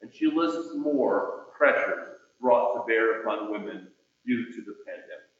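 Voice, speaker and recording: A man delivering a sermon in a measured, deliberate way, pausing for emphasis as a preacher reading and reflecting. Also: medium pitch, expressive. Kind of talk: sermon with read-out quotations. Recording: echoey room, distant muffled mic.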